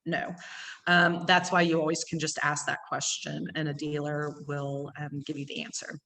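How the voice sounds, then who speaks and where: Fast-talking East Texas woman, low voice. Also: flat delivery, gravelly, pushed and bright. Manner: fast-talking with many ums. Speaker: gallery owner. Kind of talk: virtual gallery tour talk. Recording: long hall reverb.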